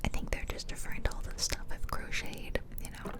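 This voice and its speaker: A woman speaks entirely in a soft whisper, close to an ASMR microphone. She rambles in an informal, chatty way, as if narrating casual gameplay from a bedroom at home. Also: fairly quick. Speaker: ASMR video creator. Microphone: close ear-to-ear ASMR microphone.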